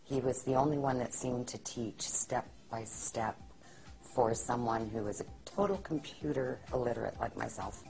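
A woman's voice: extremely low pitch, normal speed, vocal fry, dark tone, poor audio. A middle-aged woman giving an emphatic, heartfelt testimonial to camera. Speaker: former nurse giving testimonial. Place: indoors, high-rise room with window view. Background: music.

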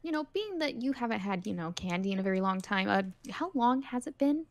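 A woman's voice: a little high, very animated, brisk, no echo. A woman chatting casually as she roleplays in an online game. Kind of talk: casual gaming banter and roleplay.

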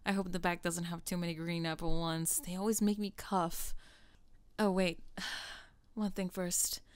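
A woman's voice: lowish voice, gravelly, no echo, voice pushed down. A woman voicing a sweet, nerdy teenage tomboy with a hesitant, earnest manner in an ASMR roleplay. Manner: hesitant, earnest, gently teasing.

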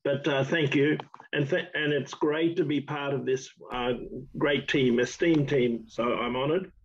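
A man's voice: medium pitch, steady tone, measured pace. A man introducing himself as a guest on a video call in a modest, hesitant manner. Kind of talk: casual video call chat. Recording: dull video call audio with faint noise.

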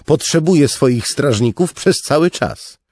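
A man's voice: on the high side, animated, a little slow, darkish tone, no echo, clean studio sound, voice pushed up. A man voicing an imperious, demanding young king in an audiobook, haughty and commanding. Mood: indignant.

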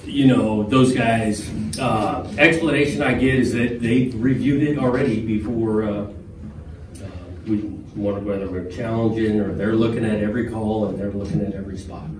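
A middle-aged man, a head football coach, answering postgame press questions in a measured, plainspoken way with frequent fillers. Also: on the low side, fairly even intonation. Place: press conference room with a logo backdrop. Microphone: podium microphone, filmed from a far camera. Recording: roomy, distant, with room noise.

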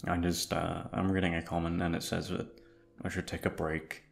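A man with a deep voice, sleepy, rambling casually to his listeners in an offhand, hesitant, sweary way. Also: monotone, normal speed, creaky, soft background music. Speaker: audio creator talking to listeners.

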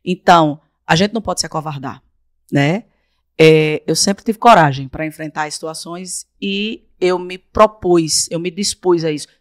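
A woman's voice: sits low, moderate pace, no echo, studio quality. Emphatic Brazilian woman in her forties. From Brazil, raised in Paraíba. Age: 40s. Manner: emphatic, repeats for rhetorical effect. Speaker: guest, council candidate. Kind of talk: podcast interview. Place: small podcast studio with blue-lit backdrop. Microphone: desk mic on boom arm.

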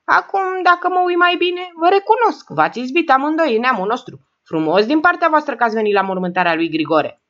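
A woman's voice: sits high, very animated, fairly bright tone, muffled recording. A woman voicing a plaintive old lady. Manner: plaintive, rambling. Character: frail old woman, tremulous and whining.

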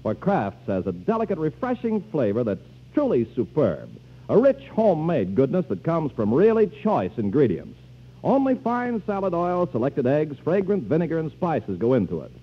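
A male radio announcer in 1940s style, with a highish voice that swings widely in pitch. It has a husky edge and comes through a dull vintage broadcast recording. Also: wildly swooping pitch, normal speed.

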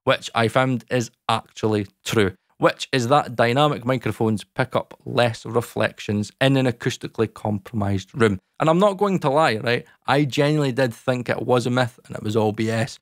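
Scottish male, 30s, deep boomy tone, relaxed talky explainer delivery, very dry close-miked home studio recording. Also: moderate pace, hardly any pauses, no echo. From Scotland. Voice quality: dark, boomy voice. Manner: chatty, lots of fillers, talks with his hands. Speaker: YouTube audio educator. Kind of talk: casual explainer talk to camera. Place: small home studio, indoors. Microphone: large dynamic mic close in front.

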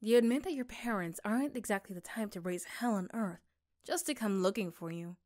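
A woman narrating a scripted second-person ASMR story in a soft, measured manner. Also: mid-range voice.

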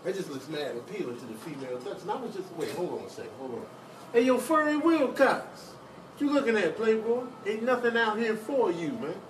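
A man voicing mouthy, excitable pets with slangy, repetitive talk in a comic voice-over. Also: sits high, fairly quick, slight background noise, poor audio. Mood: cocky. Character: animated, mouthy male pets, chatty and excitable.

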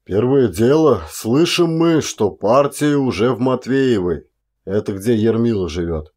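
Man acting a garrulous, slow-spoken village peasant. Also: medium pitch, animated, darkish tone. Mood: excited gossiping. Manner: folksy, exclamatory, rambling rustic talk. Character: garrulous, indignant Siberian village peasant man, folksy storyteller. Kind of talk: one-actor audiobook character acting.

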